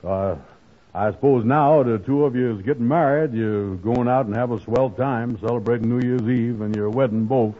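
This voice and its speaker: A man playing a gullible, soft-hearted, grumbling fellow in a 1940s radio comedy, with hesitant, folksy, ungrammatical speech. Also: medium pitch, normal speed, lightly acted. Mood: eager, cheerful. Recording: muffled, noisy old radio broadcast.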